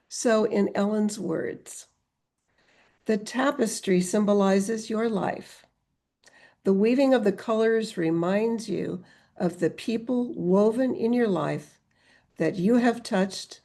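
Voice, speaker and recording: Woman doing formal ceremony speech, really slow n measured, creaky voice. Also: medium pitch, dark tone. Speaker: center director and award presenter. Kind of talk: formal prepared ceremony remarks.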